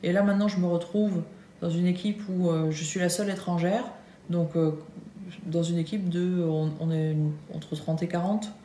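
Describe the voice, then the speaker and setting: Une femme française, la trentaine, qui parle de son travail en vivant aux États-Unis, avec beaucoup d'hésitations et de faux départs. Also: female voice, fairly low pitch, fairly even intonation, moderate pace, warm tone. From France. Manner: hesitant, many fillers and restarts. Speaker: Nike employee in the US. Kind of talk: casual vlog chat. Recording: echoey room with faint hum.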